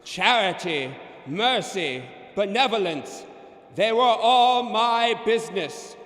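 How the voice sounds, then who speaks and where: Echoing reverb, mournful male ghost, high-pitched, declamatory, solemn, slow, radio drama. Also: animated, fairly bright tone, exaggerated voice. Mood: anguished, reproachful. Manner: declamatory, wailing. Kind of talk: old-time radio drama acting. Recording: echoey, long ghostly reverb.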